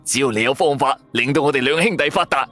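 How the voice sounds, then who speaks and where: A man's voice: mid-range voice, fast, fairly bright tone, faint music behind, lightly acted. A man voicing a brash, loyal male buddy hungry for riches, exclamatory and slangy, in a radio drama. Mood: exasperated eager. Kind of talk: Cantonese radio drama acting.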